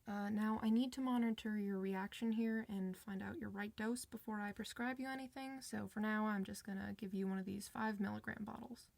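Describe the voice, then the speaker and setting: A woman's voice: medium pitch, fairly even intonation, vocal fry, a little mellow, dry recording, close to an everyday voice. A woman playing a friendly, reassuring doctor for werewolves in an informal, one-sided ASMR roleplay. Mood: calm, reassuring. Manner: reassuring, informal, hesitant pauses.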